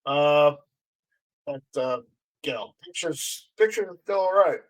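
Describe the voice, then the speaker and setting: Higher-voiced man hosting a casual live stream. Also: lively intonation, low-quality recording. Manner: halting, repeats words. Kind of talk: casual chat, reading out viewer comments.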